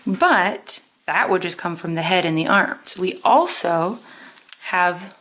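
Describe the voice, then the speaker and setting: A woman teaching a step-by-step lesson in a deliberate, instructional way, pausing and stressing key terms. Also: lowish voice, a bit bright. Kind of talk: step-by-step lesson with pauses for note-taking.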